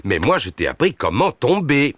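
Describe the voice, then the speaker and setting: A man's voice: medium pitch, big pitch swings, normal speed, a bit bright, dry recording, studio quality. A man voicing a jolly, clumsy father pig in a cartoon, good-humoured. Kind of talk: cartoon dubbing.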